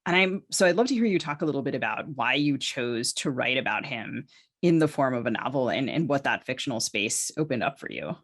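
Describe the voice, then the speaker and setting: A woman hosting a conversational literary interview, asking questions in a thoughtful, halting way. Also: extremely low pitch, lively intonation. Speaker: podcast host.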